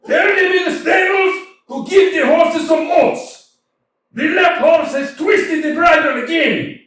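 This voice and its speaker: An actor playing an irate middle-aged landowner hounding a debtor, ranting in a staged reading. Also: male voice, very high voice, unhurried. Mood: defiant. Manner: ranting, exclamatory, swinging between mutters and shouts. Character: irate middle-aged male landowner chasing a debt, blustering. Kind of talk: staged play reading, theatrical. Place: small community theater stage. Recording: echoey theater from far off, faint hiss.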